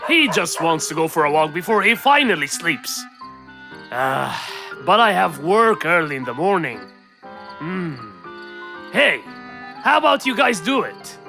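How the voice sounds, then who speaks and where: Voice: medium pitch, sing-song, measured pace, sharp tone, heavily acted. An actor voicing a good-natured adult male neighbour in a children's cartoon, groaning and drawing out exclamations, with music behind. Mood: weary, friendly.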